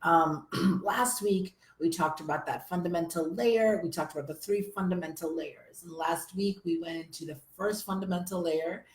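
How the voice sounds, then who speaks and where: Hoarse woman coach streaming live. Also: fairly low pitch, normal speed, roomy sound, rough recording. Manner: energetic, chatty, addresses viewers as you guys. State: hoarse from a summer cold. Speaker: business coach. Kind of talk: live stream teaching to viewers.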